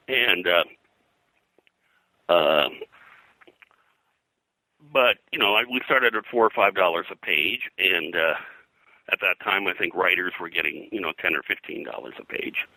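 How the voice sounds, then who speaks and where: An older American man reminiscing as an interview guest, in a halting, conversational way full of verbal filler. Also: sits low, sharp tone, dry recording, rough recording. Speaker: interview guest, veteran comics colorist.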